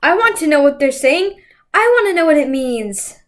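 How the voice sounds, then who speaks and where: A woman's voice: high voice, big pitch swings, moderate pace. A woman reading a child character's dialogue aloud from a children's book. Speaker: story reader.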